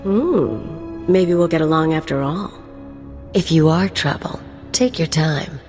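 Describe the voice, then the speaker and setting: Low, sultry, dangerous woman enforcer. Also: expressive, takes it slowly, a little mellow. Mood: sultry menace. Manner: teasing, seductive, threatening. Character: sultry, menacing female security chief, flirtatious and dangerous. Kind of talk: video game dialogue acting. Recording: reverberant hall with music behind.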